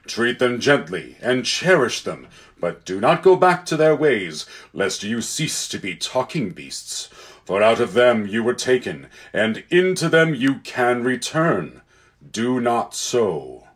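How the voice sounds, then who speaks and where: A man voicing a great lion king in a storybook, kind and commanding, with slow, measured, solemn pronouncements. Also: mid-range voice, big pitch swings. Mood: solemn, generous.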